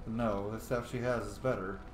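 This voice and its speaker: A man's voice: lowish voice, steady tone, normal speed, faint music behind. A man giving casual, informal commentary on a game playthrough, explaining lore and reacting as he goes. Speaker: gaming host, role-playing let's player. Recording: echoey room with faint noise.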